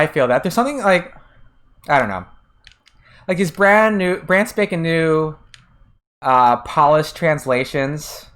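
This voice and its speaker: Chatty American streamer, halting and casual. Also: mid-range voice, a bit bright. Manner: chatty and hesitant, trails off and restarts often; answers chat messages between gameplay. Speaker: game streamer and translator. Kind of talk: casual live-stream commentary while playing.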